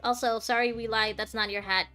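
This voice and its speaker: Female game streamer, slightly high voice, casual chat, rambling and hesitant, faint music behind. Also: steady tone, fairly quick. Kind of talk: casual live game commentary.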